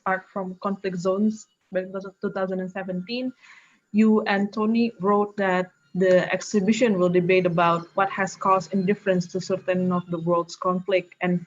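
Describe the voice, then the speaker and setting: A woman from Indonesia hosting an interview, putting prepared questions in halting phrasing. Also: mid-range voice. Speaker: interviewer, host. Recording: dull lo-fi call audio.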